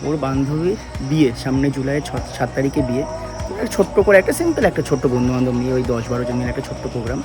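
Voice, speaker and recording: A casual vlogger with a West Bengal Bengali accent talks at a brisk pace and tends to repeat phrases. The pitch is middling, and music plays behind amid busy crowd chatter. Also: big pitch swings. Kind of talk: casual vlog narration.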